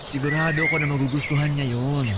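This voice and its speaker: A man's voice: a little high, steady tone, fast talker, heavy background noise, close to an everyday voice. A man playing a doting, upbeat young boyfriend in a Filipino radio drama, affectionate and reassuring, over music. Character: doting, cheerful young boyfriend and admiring nephew. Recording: loud music bed behind dialogue.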